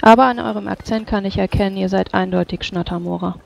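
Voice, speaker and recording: Gravelly, creaky-voiced game master. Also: mid-range voice, steady tone, fast talker. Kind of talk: tabletop role-play narration and in-character dialogue.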